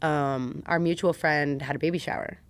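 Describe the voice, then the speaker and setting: A woman talking casually as a podcast guest, animated and slangy, telling personal stories and acting out what people said. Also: sits low, moderate pace, clear, resonant tone. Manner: animated, slangy, acts out quoted lines. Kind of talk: casual personal storytelling in conversation.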